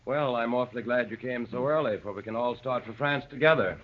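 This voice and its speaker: An actor playing a boyish, quietly good-humored secret policeman in 1930s radio drama, mild and affable. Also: medium pitch, brisk, natural voice. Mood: cheerful. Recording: dull lo-fi 1930s radio recording.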